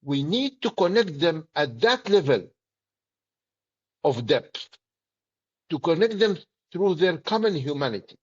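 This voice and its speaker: A middle-aged man with a Palestinian Arabic accent, speaking earnestly and deliberately in short, emphatic phrases as an interview guest. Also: a little high, very animated, a little mellow, breathy edge, lo-fi sound. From Palestinian territories. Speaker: political activist, interview guest.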